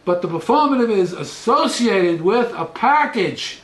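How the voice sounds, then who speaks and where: An older man, a professor, gives an animated, lecture-style philosophical talk into a headset mic in a small study, often trailing off into quieter asides. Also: high-pitched, unhurried, roomy sound. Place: small study with bookshelves, indoors.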